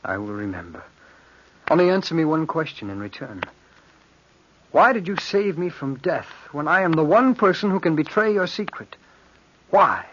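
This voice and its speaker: An actor playing a desperate, proud and wronged fugitive in an old-time radio drama, speaking with grave, earnest theatricality. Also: male voice, on the high side, sing-song, normal speed, long pauses, a bit bright, slight background noise. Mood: wary. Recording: dull vintage radio recording.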